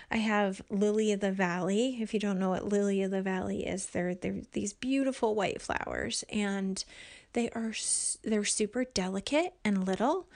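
A woman hosting her own podcast from home at night, talking in a soft, hushed voice that often sinks to a near whisper, earnest and gentle with frequent ums. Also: mid-range voice. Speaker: podcast host and life coach. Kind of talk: casual solo podcast talk.

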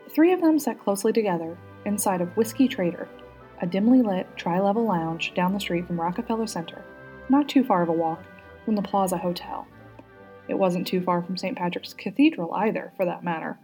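A woman narrating a story aloud as a guest reader, with an expressive, teacherly way of addressing listeners. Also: fairly low pitch, fairly quick, soft background music, poor audio.

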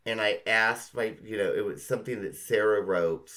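A woman's voice: extremely low pitch, normal speed, a bit bright. A middle-aged woman co-hosting a podcast, talking casually and emphatically with a friend, with some swearing. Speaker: podcast co-host. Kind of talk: casual chat between friends.

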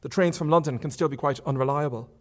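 A man's voice: a little high, fast, lightly acted. A male author reading the lines of a guarded, nervous young man in a hesitant, subdued way.

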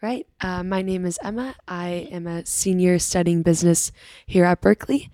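A woman's voice: lowish voice, fairly even intonation, moderate pace, mellow, dry recording. A woman in her twenties, a student guest, speaking thoughtfully and hesitantly with fillers. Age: 20s. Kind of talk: casual conversation.

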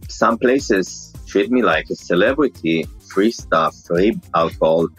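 A man with an Israeli accent and a deep, mumbling voice, telling stories bluntly and casually in an interview, with curse words. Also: expressive, measured pace, husky, faint music behind, rough recording. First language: Hebrew. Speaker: interview guest, celebrity impersonator.

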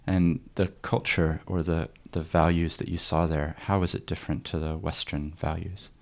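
A man's voice: rumbling low, level tone, moderate pace, creaky. A man who grew up in New Zealand, interviewing with curious, encouraging questions. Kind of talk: conversational interview.

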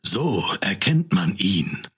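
A man's voice: low-pitched, sing-song, slow pace, a bit bright, slightly rough. A man delivering short, produced station-voice announcements. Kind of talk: produced jingle-style announcements. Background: synthesizer music.

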